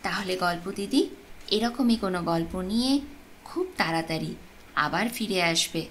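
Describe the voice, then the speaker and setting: A woman narrating a supernatural tale as a storyteller, with expressive, deliberate phrasing. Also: lowish voice. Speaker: storyteller, channel host. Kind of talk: dramatic audiobook narration of a ghost story. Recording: clear background noise and ambience.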